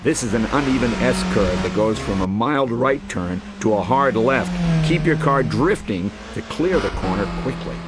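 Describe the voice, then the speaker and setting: A man reading scripted instructional voice-over for a racing game in a clear, measured manner. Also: medium pitch, animated. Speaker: game tutorial narrator. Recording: loud car engine noise over voice. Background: race car engines and driving sounds.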